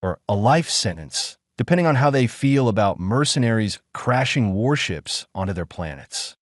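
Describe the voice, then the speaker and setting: A man voicing a gruff, sarcastic human mercenary pilot. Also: on the low side, very animated, normal speed, dry recording, clean studio sound. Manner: sarcastic, gritty.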